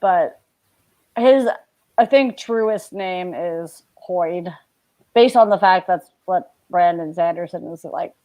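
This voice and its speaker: A woman's voice: fairly low pitch, slow pace, mellow, poor audio. A woman around her thirties in a casual chat on a video call, explaining things as she thinks aloud. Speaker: book discussion guest. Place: living room at home, on a video call.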